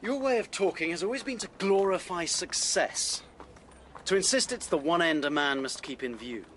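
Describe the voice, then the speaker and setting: An actor playing a proud, impoverished writer in a period radio drama, English accent, formal and stiff with wounded dignity. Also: male voice, high voice, animated, moderate pace, a bit bright, slight background noise. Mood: gloomy, resentful.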